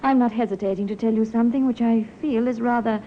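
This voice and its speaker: A woman playing a genteel, educated young Edwardian wife in a 1950s radio drama, refined and measured. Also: fairly high pitch, fairly even intonation, natural voice. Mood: confiding. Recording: muffled, hissy old radio recording.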